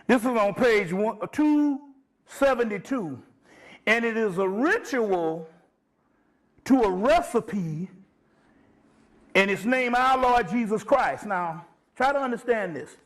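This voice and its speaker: High-pitched man lecturing informally. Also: lively intonation, slow, long pauses. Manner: emphatic, profane, conversational, often checking listeners follow.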